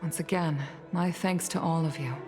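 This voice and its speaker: A woman voicing a low, solemn female commander. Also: steady tone, over music, lightly acted. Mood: formal, grateful. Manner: formal, measured, grave. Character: dignified mature female commander, solemn and restrained. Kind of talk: video game voice acting.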